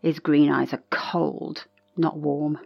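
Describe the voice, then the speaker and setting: An actress playing a frightened, secretive older woman confiding in a hushed way to a tape recorder in an audio drama. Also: low-pitched, little pitch movement, takes it slowly, creaky, husky, no room sound, full character voice. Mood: fearful, hushed. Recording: dull, close, dry tape recording.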